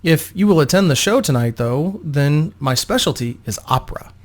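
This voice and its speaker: A man's voice: fairly high pitch, animated, normal speed. A man voicing a young female opera singer, polite, grateful and slightly anxious, in a storybook reading. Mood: polite, modest.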